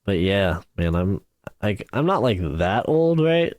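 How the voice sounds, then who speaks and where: A man's voice: fairly low pitch, sing-song, a little slow, a little mellow, dry recording, clean studio sound. A man in his twenties, a YouTuber chatting casually on a podcast, laid-back and saying "like", "dude" and "bro" often. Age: 20s.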